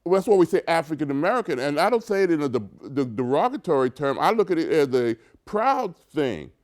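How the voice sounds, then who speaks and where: A man with a smooth, resonant voice that sits a little high. He talks earnestly on a community talk show and often stops and restarts mid-thought as he hunts for the right word. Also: very animated, a little fast. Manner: earnest, searching for words, many restarts. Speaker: co-host. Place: local TV studio.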